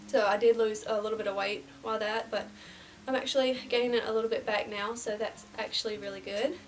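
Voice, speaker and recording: A young woman in her twenties, slightly nasal from a cold, chatting casually through a get-ready-with-me beauty vlog and dropping to soft half-whispers as she works. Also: mid-range voice, steady tone, a little fast, recorded from a distance, a little hiss or hum. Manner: casual and rambling, drops to near-whispers while applying makeup. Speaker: beauty vlogger. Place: small indoor room before a plain backdrop.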